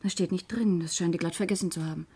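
A woman's voice: on the low side, fairly even intonation, quick pace, mellow, slightly rough. An actress playing a young nurse in her twenties, candid, hesitant and compassionate, in a German radio drama. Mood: dutiful, puzzled. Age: 20s. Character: young female nurse, twenty-six, frank, troubled, compassionate.

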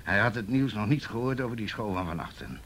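An actor playing a weary, wry, middle-aged police officer in a Dutch radio play, dry and hesitant in manner. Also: male voice, fairly low pitch, expressive, quick pace, a bit bright, a little hiss or hum. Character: weary, wry older male police officer in youth affairs.

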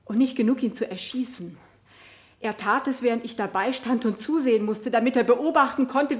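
A female actor plays an anguished wife who feels betrayed, speaking in a high voice. She testifies haltingly yet insistently, full of emotion, in the manner of a vintage radio drama. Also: fairly bright tone, close to an everyday voice. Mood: wounded, anguished. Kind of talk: 1970 German radio play acting.

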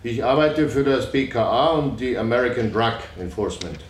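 A man's voice: mid-range voice, animated, normal speed, natural voice. Guy doing a clipped informant answering questions, echoey room, bit of hiss. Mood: matter-of-fact. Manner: short matter-of-fact answers. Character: terse male police informant under questioning. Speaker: informant testifying in court. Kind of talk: documentary reenactment of court testimony. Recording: echoey room with faint noise.

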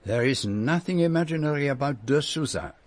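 An actor playing a courteous, shrewd Belgian detective with a French-speaking Belgian accent, formal and precise in a radio drama. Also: male voice, on the high side, animated. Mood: measured, thoughtful. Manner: measured, formal, precise phrasing. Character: courteous, shrewd Belgian private detective, middle-aged or older.

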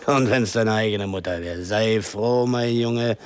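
A man's voice: lowish voice, a little slow, lightly acted. A man acting in a German radio drama as the sardonic, taunting inner voice of a down-on-his-luck hitman. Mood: bitter, sardonic.

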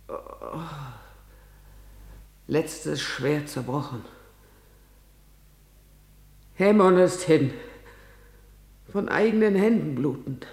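An actor playing a grave messenger in a classical verse radio drama, reciting in a solemn, measured way. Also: male voice, a little high, vocal fry. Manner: solemn, measured recitation, shifting to hushed whispers. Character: grave messenger reporting deaths.

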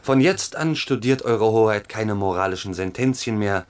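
Actor as a sly, cynical courtly tutor. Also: male voice, on the low side, normal speed, natural voice. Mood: sly mockery. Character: cynical, dry courtly tutor needling his pupil. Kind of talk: radio play acting.

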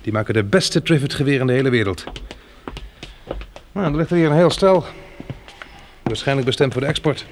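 Male actor for radio drama survivor: practical, commanding, instructive, mid-pitched, slight background noise. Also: a little fast, long pauses, lightly acted. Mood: practical, assured. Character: resolute, practical man surviving a monster-plant catastrophe. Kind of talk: Dutch radio play acting.

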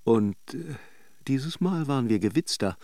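An actor playing a wry, attentive lover in a German radio drama, understated and a little hesitant. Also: male voice, medium pitch, lively intonation, a little slow, warm tone, no echo, studio quality. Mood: wry, reminiscing. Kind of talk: German radio drama acting, with reflective narration.

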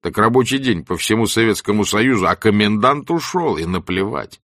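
A man voicing a gruff, wry, ailing ex-camp exile who tells things in animated colloquial speech. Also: lowish voice, moderate pace, dry recording, clean studio sound, pitched above the performer's own voice. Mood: aggrieved, wry.

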